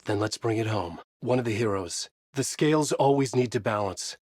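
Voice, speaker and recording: An actor playing a tormented thriller writer trapped in a horror story in a video game, with a grave, introspective, intense delivery and a husky voice. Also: male voice, lowish voice, normal speed, darkish tone, no room sound. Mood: urgent, troubled. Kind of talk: brooding dramatic game dialogue and noir monologue.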